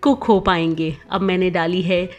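A woman, host of a cooking channel, narrating a recipe voice-over with clear step-by-step instructions. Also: on the low side, fairly even intonation, moderate pace, soft background music.

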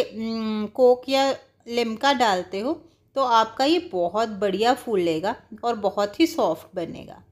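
High-pitched woman teaching a recipe. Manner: explanatory, addressing viewers directly. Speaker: home cook giving a recipe tutorial. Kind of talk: casual step-by-step cooking instruction.

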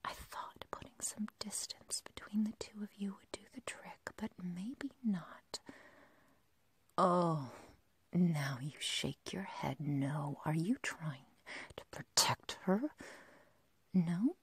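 A woman's voice: fairly low pitch, background noise. A woman playing an obsessive, lovestruck yandere girl kidnapper in a whispered, intimate, possessive ASMR roleplay. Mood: hurt, possessive. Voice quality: breathy, whispery. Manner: slow, intimate, halting, possessive.

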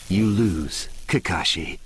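An actor playing a calm, confident young ninja teacher in an anime, composed and firm but encouraging. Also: male voice, low-pitched, heavy background noise, exaggerated voice. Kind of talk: anime dub acting. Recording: dramatic music under the voice. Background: music.

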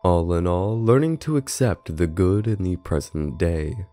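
Male essay narrator, low and soft-toned, scripted and measured delivery, faint music behind. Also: lively intonation, no room sound. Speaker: video essay narrator and reviewer. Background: background music.

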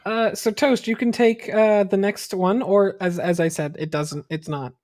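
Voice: medium pitch, steady tone, unhurried, a little mellow. A podcast host chatting casually while handing out turns to readers. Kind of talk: casual chat, organising readers.

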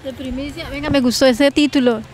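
High, quick-talking Colombian woman presenter. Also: fairly even intonation, darkish tone, slight background noise. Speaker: television host. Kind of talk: chatty TV interview presenting. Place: outdoors in a park. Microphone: handheld microphone.

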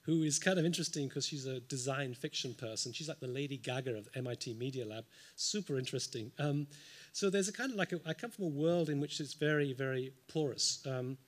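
Man, panel speaker, unscripted, thoughtful, lots of ums, dry humor, mid-pitched voice, table mic in a lecture hall. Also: a little fast, hardly any pauses. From United Kingdom. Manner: thoughtful, frequent um fillers, dry asides. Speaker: symposium panelist. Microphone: table microphone.

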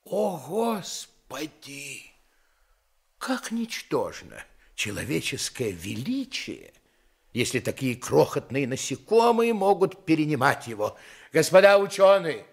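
A haughty, curious giant king in a radio play. His high voice swings widely and creaks as he slowly ponders and exclaims. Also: very animated, exaggerated voice. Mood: curious, astonished. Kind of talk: theatrical radio play acting.

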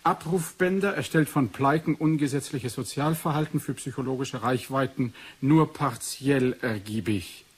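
An actor playing an analytical, brooding research doctor in a German 1980s science fiction radio play, speaking in a measured, formal way. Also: male voice, mid-range voice, breathy edge, natural voice. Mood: detached, analytical. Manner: measured, formal, clipped dictation.

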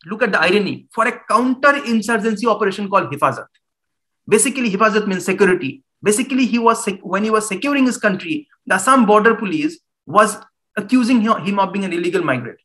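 A man's voice: high voice, fast talker, poor audio. A man in his thirties with an Indian English accent, giving an earnest talk as a human rights lawyer and pressing the listener with pointed questions. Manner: animated hand gestures. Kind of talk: public talk. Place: small room at home, indoors.

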